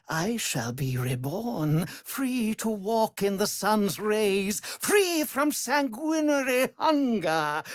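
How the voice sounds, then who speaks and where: A cruel, aristocratic vampire master as a game villain, in a very dry, close recording. He speaks slowly, savoring his contempt, and his pitch swoops widely from menace to imperious command. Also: a bit bright, husky, dry recording, full character voice. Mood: menacing, exultant. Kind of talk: dramatic video game villain acting.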